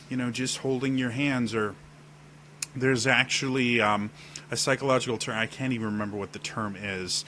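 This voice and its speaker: A man with a deep voice giving casual on-camera advice about role-playing, demonstrating gestures as he explains. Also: pushed and bright, slight background noise. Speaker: role-playing tips video host. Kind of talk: casual instructional talk to camera. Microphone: webcam setup at desk.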